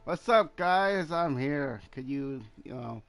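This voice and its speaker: A man's voice: on the high side, expressive, takes it slowly, fairly bright tone, soft background music. A man giving casual, joking commentary while he plays a game. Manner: joking, informal. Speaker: gaming streamer.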